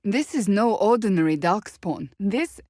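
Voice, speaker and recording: A woman's voice: mid-range voice, lively intonation, measured pace, darkish tone, no echo, studio quality. An actress voicing a stern, devout warrior woman in a fantasy video game, speaking in a formal, blunt, serious way. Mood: grave, questioning.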